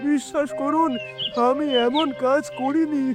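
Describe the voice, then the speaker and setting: A man's voice: extremely high pitch, fairly even intonation, unhurried. A man playing a poor, desperate, wronged farm labourer who pleads and protests in a cartoon horror story. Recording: music behind.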